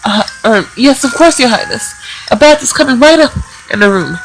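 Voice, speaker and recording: A woman's voice: a little high, animated, normal speed, faint music behind, rough recording. A woman voicing a timid, flustered young mage in a visual novel, hesitant, stammering and deferential. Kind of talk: visual novel character read-aloud.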